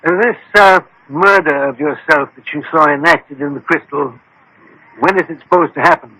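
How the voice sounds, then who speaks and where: An actor playing a brisk, self-assured doctor-detective in a vintage radio mystery, formal, authoritative and dryly witty. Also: male voice, on the high side, sharp tone. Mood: probing, composed. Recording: noisy old radio broadcast recording.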